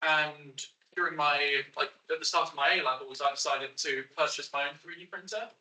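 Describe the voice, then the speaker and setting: Loud chatty young man. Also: a little high, fairly even intonation, moderate pace. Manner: loud, chatty, rambling with asides. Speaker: young maker and guest. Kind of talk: casual spoken story. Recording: roomy sound from a distant mic.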